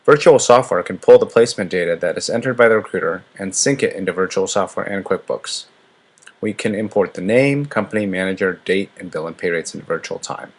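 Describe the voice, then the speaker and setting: A man presents a scripted software demonstration. His voice sits fairly low and has a noticeably husky, unpolished edge. He narrates as a product walkthrough presenter. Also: brisk.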